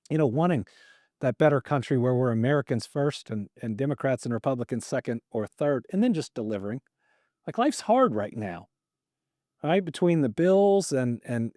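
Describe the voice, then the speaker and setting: A man's voice: medium pitch, warm tone, dry recording. Middle-aged male podcast host, earnest and conversational, voice in the middle range, dry booth sound. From Kentucky. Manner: earnest, repeats words when starting thoughts. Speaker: podcast host and state governor. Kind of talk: casual conversational interview.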